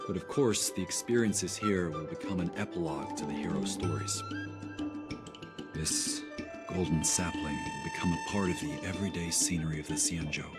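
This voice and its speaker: Game envoy: deep, formal, solemn legend-teller, measured delivery over loud music. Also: male voice. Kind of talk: scripted game dialogue, storytelling.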